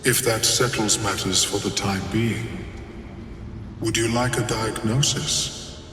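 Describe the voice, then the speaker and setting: A man playing a calm, scholarly mind flayer in a video game, formal and politely clinical, with a detached, measured manner. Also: on the low side, background noise. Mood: composed, formal. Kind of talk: video game dialogue acting. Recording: echoing hall with long reverb, faint music.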